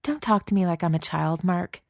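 A woman's voice: medium pitch, normal speed, a little mellow, dry recording, studio quality, pitched above the performer's own voice, lightly acted. A woman voicing a frustrated young woman artist who speaks in an impassioned, raised voice.

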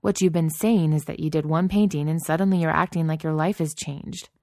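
A woman's voice: low-pitched, fairly even intonation, brisk, a little mellow, dry recording, clean studio sound. A woman doing a condescending young boyfriend. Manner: condescending, placating.

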